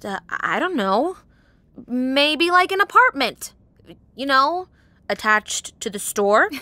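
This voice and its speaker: A woman's voice: sits high, very animated, slow pace, sharp tone, dry recording, exaggerated voice. A woman acting a curious, awkward teenage girl in an audio drama, hesitant and questioning. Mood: probing, awkward.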